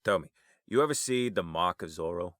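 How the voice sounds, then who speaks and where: A man's voice: low voice, lively intonation, fairly quick, a bit bright, no echo, studio quality. A man playing an easygoing, joking uncle figure in an audio drama. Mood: teasing, friendly. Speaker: voice actor.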